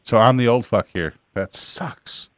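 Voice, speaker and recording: A brash, teasing man in his forties hosts a live talk show and jokes crudely with his guests. His voice sits at a middling pitch, and the sound is dry and close. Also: no echo. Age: 40s. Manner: brash, teasing, quick to laugh.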